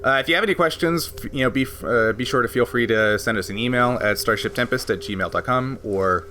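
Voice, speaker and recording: A man's voice: mid-range voice, brisk, sharp tone, a little hiss or hum. A man hosting a tabletop game podcast, explaining a rules system at length in a casual way, with frequent laughs. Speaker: game master and podcast host.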